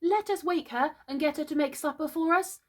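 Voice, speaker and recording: A woman's voice: high voice, brisk, voice pushed up, natural voice. A woman voicing a small, plaintive younger brother in a storybook, childlike and pleading. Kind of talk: storybook character voice.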